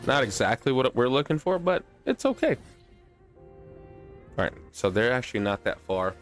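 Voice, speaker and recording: A man's voice: medium pitch, very animated, moderate pace. A man streaming a video game with casual, chatty commentary, playful slang and a self-deprecating streak. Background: music.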